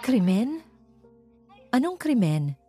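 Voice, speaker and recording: Woman as anxious villager, troubled, lifted pitch, storybook drama, faint music. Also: very animated, slow, warm tone, full character voice. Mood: shocked, anxious. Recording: music behind the voice.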